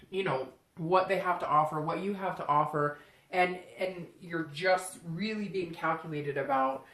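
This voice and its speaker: A woman's voice: fairly low pitch, fairly bright tone. A woman giving a casual, unscripted tarot reading straight to the listener, conversational and often slipping into imagined first-person quotes. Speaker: tarot reader hosting a reading. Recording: roomy, echoing room sound.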